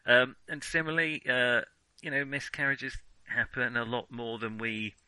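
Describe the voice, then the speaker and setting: A man with a very bright, forceful voice in a dry, close recording. He co-hosts a casual film chat, feeling his way through opinions with hedges and sentences that often restart halfway. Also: medium pitch, fairly even intonation, clean tone, no room sound, poor audio. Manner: halting, hedging, often restarts sentences mid-thought. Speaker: podcast co-host.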